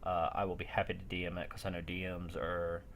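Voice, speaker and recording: A man with a deep voice acts as game master in a casual online session. He talks informally to his players. Faint noise sits behind his voice. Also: completely flat delivery, fairly quick, fairly bright tone. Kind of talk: casual chat while running an online tabletop game.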